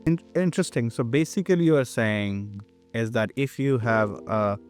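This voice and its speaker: A man's voice: mid-range voice, moderate pace, soft background music. A man hosting a podcast interview, asking questions and reacting with enthusiasm. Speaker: podcast host.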